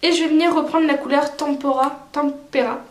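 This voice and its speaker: A woman's voice: high-pitched, steady tone, normal speed, roomy sound, slight background noise. A woman vlogger with a cold, chatting casually through a makeup tutorial with many fillers. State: stuffy with a cold. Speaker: beauty vlogger.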